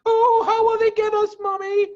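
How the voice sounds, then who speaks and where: A man's voice: very high voice, flat delivery, moderate pace, lo-fi sound, pitched above the performer's own voice. A man voicing a small, wide-eyed, timid young fox child in a children's storybook reading. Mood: frightened. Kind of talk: children's storybook read aloud.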